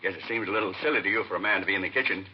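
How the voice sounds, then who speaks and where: An actor playing a chatty nightclub owner in a vintage radio drama, talking in a breezy, offhand way. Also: male voice, deep voice, very fast, breathy edge. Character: chatty male nightclub owner, middle-aged, casual. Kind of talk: 1950s radio drama acting. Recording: dull vintage radio broadcast.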